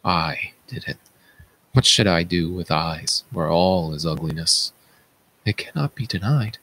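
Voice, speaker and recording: A man's voice: sits low, animated, measured pace, bright, forceful tone, exaggerated voice. A man playing an anguished, self-loathing blinded king in a theatrical, declamatory reading of Greek tragedy. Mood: agonized. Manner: declamatory, tormented, dramatic.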